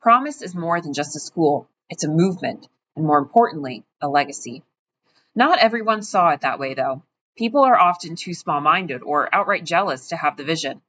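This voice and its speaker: A woman's voice: low-pitched, lively intonation, normal speed, fairly bright tone. A woman voicing a smug, pompous wealthy middle-aged man in an audiobook reading, talking boastfully and self-importantly. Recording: dull, muffled audio with faint hiss.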